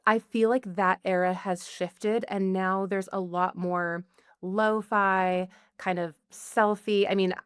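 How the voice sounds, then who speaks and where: Podcast guest, woman with a medium-pitched voice, loose and chatty, lots of fillers. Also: fairly even intonation, slow pace, smooth, no room sound, studio quality. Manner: chatty, lots of likes and you-knows. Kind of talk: casual interview chat.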